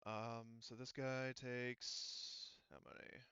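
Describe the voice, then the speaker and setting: A man acting as game master for a tabletop roleplaying game, talking casually and haltingly as he works through rules and narrates combat. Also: mid-range voice, little pitch movement, highs cut off, background noise. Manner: casual, halting delivery while working out rules.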